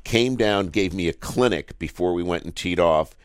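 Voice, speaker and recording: A man's voice: low-pitched, normal speed, no room sound, studio quality. A man hosting a sports radio talk show, asking rambling questions in a conversational, jokey way. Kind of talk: casual sports radio interview.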